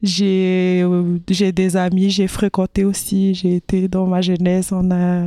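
A woman singer and musician from France, interviewed, speaking hesitantly with many pauses and fillers. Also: on the low side, completely flat delivery, warm tone, no echo. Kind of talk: casual interview.

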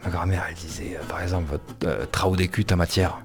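A man with a very deep voice talks haltingly, pausing often as he reminisces. Faint guitar plays behind him. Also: steady tone.

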